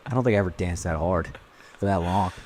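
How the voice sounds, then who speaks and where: A laid-back man hosting a relaxed conversation, speaking in a low voice. He talks in a slangy, joking way. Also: quick pace. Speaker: podcast host. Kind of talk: casual conversational interview.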